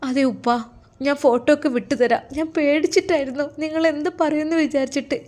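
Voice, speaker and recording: A woman's voice: sits high, quick pace, darkish tone, pitched above the performer's own voice, natural voice. A woman voicing an anxious, remorseful young daughter in a story, with a Kerala Malayalam accent. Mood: timid, reassuring. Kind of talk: storytelling character voice.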